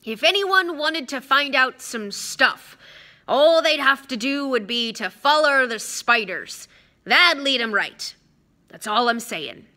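A woman voicing a huge, gruff, hot-tempered man with loud, rustic speech in a storybook reading. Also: on the high side, lively intonation, normal speed, few pauses, exaggerated voice. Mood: loud, resolute. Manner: loud, roaring, rustic dropped-sound speech.

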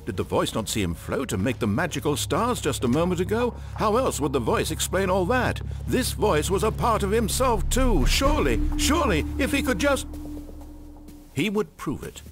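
An actor playing a composed, wry, omniscient narrator in a video game, with a British accent and deliberate, articulate, theatrical delivery. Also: male voice, high-pitched, very animated, background noise. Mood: rising insistence.

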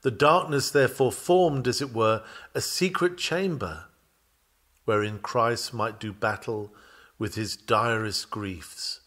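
A man reading an old-fashioned sermon dramatically, with solemn, fervent and measured oratory. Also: medium pitch, fairly bright tone. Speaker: sermon reader.

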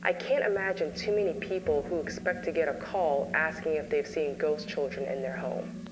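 A woman narrating an analog horror story in a slow, weary, grave voice. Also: deep voice, fairly even intonation, background noise. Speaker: narrator, therapist. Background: music.

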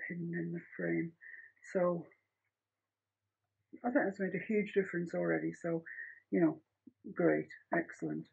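Woman, middle-aged, husky, chatty art teacher, home studio, dull lo-fi sound. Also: on the low side, darkish tone. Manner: chatty, rambling, practical. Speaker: painting teacher. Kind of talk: painting tutorial. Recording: muffled lo-fi home recording.

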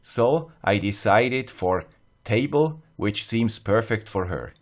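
Male, Swiss accent, lowish pitch, scripted tutorial narration, measured, plain-spoken, methodical. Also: lively intonation. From Switzerland. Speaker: host of an electronics tutorial channel.

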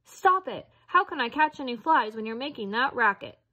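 A woman's voice: a little high, expressive, moderate pace, narrow, tinny audio. A woman voicing a small frog from a children's storybook in an emphatic, plain-spoken way. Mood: annoyed.

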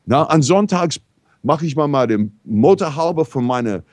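American man telling lively, rambling anecdotes. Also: on the high side, unhurried, dry recording. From New York, USA. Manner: rambling, animated, many fillers and restarts. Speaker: talk show regular. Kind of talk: casual storytelling in a discussion.

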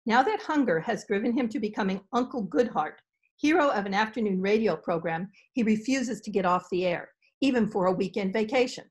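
A woman's voice: medium pitch, brisk. An older woman announcing and narrating a scripted introduction to an old time radio show. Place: home office lined with shelves. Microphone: computer mic on a video call.

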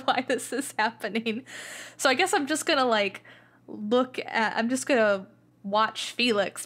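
A woman's voice: fairly high pitch, fairly bright tone. A woman playing a tabletop game, chatting informally and thinking aloud about her character. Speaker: player. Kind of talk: casual chat in a tabletop game.

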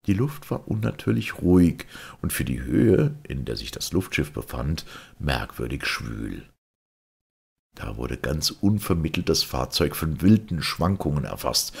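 A man's voice: very deep voice, vocal fry, slightly rough. A man reading a vintage science fiction story aloud as scripted literary narration. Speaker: audiobook reader.

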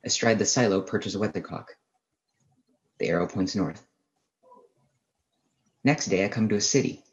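Man acting a low-voiced, haunted professor, last survivor. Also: lo-fi sound, natural voice. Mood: grim, watchful. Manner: halting, brooding, literary delivery. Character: haunted male astronomy professor, lone survivor of alien invasion. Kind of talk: dramatic first-person radio play narration.

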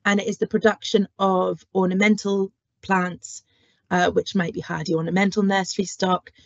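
A woman's voice: mid-range voice, level tone, moderate pace, vocal fry, dry recording. A woman horticulture lecturer giving an online careers talk, speaking through a cold and cough with many ums. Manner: frequent ums, friendly explanatory. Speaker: horticulture lecturer and curriculum lead. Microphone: webcam or headset on video call. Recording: dull lo-fi video call audio.

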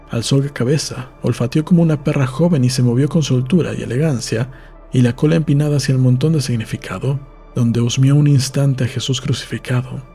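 Mellow man reading a story over faint music. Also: mid-range voice. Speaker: audiobook reader. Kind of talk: scripted literary narration.

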